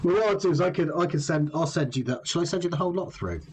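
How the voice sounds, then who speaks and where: A man's voice: fairly high pitch, very fast, slight background noise. A man with a Somerset accent hosting a casual chat, rambling and self-deprecating as he talks. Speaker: podcast host.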